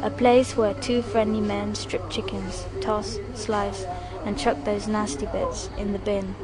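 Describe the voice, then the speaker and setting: A woman reading her own poetic voice-over narration, in a measured, evocative way with short fragmented phrases. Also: medium pitch, flat delivery, a loud crowd.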